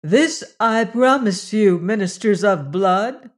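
A man's voice: sits high, slow. A man voicing a young woman posing as a goddess, proclaiming in a stately, solemn way. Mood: urgent.

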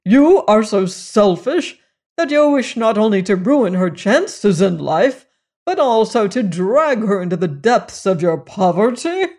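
A sanctimonious older churchman who lectures and scolds from a height of self-importance, as the reader puts him on. His voice sits at an ordinary middle pitch. He speaks with pious, condescending authority. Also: very animated, measured pace, a little mellow. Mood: indignant. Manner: sanctimonious, lecturing, rising to indignant. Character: pompous older male clergyman, self-righteous and evasive.

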